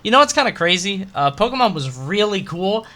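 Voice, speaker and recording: A man's voice: high-pitched, very animated, brisk, a crowd in the background. A man co-hosting a podcast, reading out questions and bantering casually in a blunt, sarcastic, sweary way.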